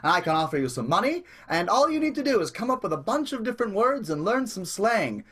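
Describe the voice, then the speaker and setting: Here is a vaudeville-era entrepreneur in a comic radio play. He is eager and bumbling, with a husky voice that swings up and down. He rambles through his pitch like a salesman. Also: very animated, quick pace, bright, forceful tone, heavily acted.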